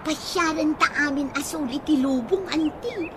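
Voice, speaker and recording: A woman's voice: high voice, normal speed, close to an everyday voice. A woman playing a perky, cheeky young niece in a radio comedy drama, speaking with an Ilocano accent. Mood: gleeful. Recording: noisy audio with birds.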